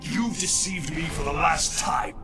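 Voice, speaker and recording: An actor playing a vengeful, defiant undead ninja in a video game, with a gravelly, menacing growl and terse, formal threats. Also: male voice, a little high, a little slow, music in the background, close to an everyday voice. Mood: angry.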